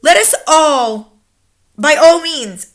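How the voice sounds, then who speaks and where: A woman's voice: high voice, expressive, takes it slowly, voice pushed up, exaggerated voice. A woman voicing the imperious, scornful leader of a coven of witches, in a commanding, declamatory manner.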